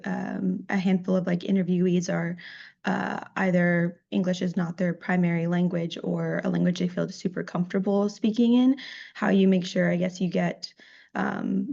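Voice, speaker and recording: A woman in the audience asking a question, halting and searching for words with many fillers. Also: medium pitch, vocal fry, a little mellow, dull audio.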